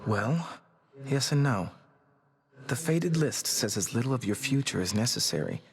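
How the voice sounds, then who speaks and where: A deferential attendant to royalty in a video game, careful and formal in speech. The medium-pitched voice rings with long reverb. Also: male voice, sing-song, normal speed. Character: courteous, deferential attendant to a princess. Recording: long reverberant hall effect.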